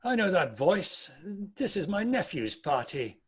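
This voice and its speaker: Male actor as anxious old miser, swooping intonation, high voice, questioning, dramatic reading. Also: very animated, a little slow, slight background noise. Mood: troubled. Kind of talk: dramatic table read. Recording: remote video-call recording.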